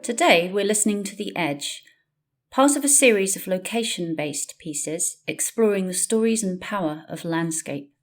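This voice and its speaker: A woman's voice: mid-range voice, animated, normal speed. A woman presenting a story programme with a scripted introduction. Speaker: podcast presenter. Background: faint music.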